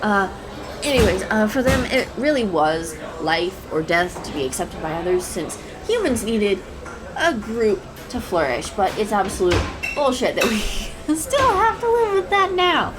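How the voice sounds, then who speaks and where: Woman acting shy rambling young woman, lively pitch. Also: measured pace, crowd noise. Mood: warming up, rambling. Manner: hesitant, rambling, stammering, nervous laughs. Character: shy, anxious, adorkable young woman chatting up a stranger. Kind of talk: intimate first-person roleplay audio. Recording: clear background coffee-shop ambience noise. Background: coffee shop ambience sound effects.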